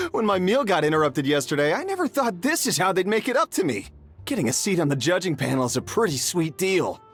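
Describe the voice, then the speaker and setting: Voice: medium pitch, sing-song, brisk, slightly rough, soft background music. A performer playing a cheerful, food-loving contest judge in a video game, chatty and upbeat. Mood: pleased.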